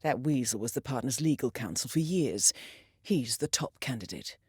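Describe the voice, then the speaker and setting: A woman playing a composed, calculating intelligence handler in a video game, giving measured, precise mission briefings with dry, understated wit. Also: rumbling low, animated, darkish tone, slightly rough, dry recording, heavily acted. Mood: quiet, resolute.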